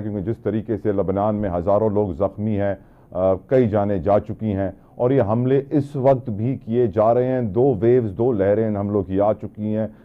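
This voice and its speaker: A man's voice: fairly low pitch, narrow, tinny audio. A man with a Pakistani accent presenting a current affairs show, putting long rhetorical questions respectfully to a guest. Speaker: TV talk show host.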